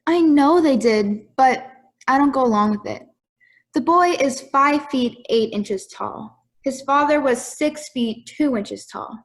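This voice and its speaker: Careful, questioning juror, played by a woman, high voice, doubtful, amateur audio drama. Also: measured pace.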